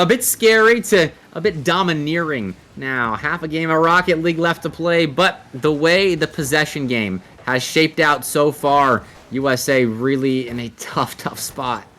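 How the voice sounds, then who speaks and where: A man commentates on a live esports match. His voice sits a little higher than most men's. He mixes play-calling with analysis, sometimes stretching words while he finds the phrase. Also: sharp tone.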